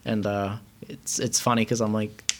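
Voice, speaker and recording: A man's voice: lowish voice, little pitch movement, moderate pace, noisy background. A young man from Iran chatting casually in a rambling way, with many fillers. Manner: rambling, heavy use of filler words. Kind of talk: casual chat.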